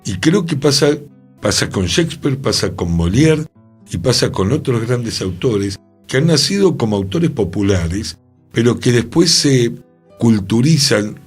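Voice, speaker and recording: Slow, husky Rioplatense radio man. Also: mid-range voice. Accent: Rioplatense Spanish. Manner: deliberate, measured, repeats words for emphasis. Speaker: radio show co-host. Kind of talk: cultural radio discussion.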